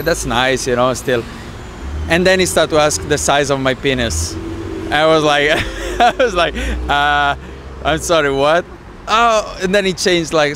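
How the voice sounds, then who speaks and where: A man from Italy, answering street interview questions in an animated, laughing way. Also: fairly high pitch, slight background noise. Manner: animated, laughs while telling anecdotes. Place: city street outdoors.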